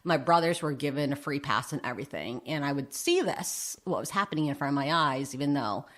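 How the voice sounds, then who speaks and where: A woman's voice: sits low, lively intonation, a little fast, a bit bright. A middle-aged woman telling personal stories casually and candidly as an interview guest, with self-deprecating humour. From United States, Vietnamese immigrant family, arrived aged three. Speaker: interview guest, drag king and public speaker. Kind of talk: animated personal storytelling in casual chat.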